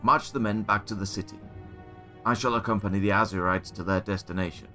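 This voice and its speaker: Man acting a low, grim fantasy army captain. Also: fairly even intonation, brisk, pushed and bright, soft background music. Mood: firm. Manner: terse, grim, formal military address. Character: grieving, stubborn wounded militia captain, bitter for revenge. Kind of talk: fantasy audio drama acting.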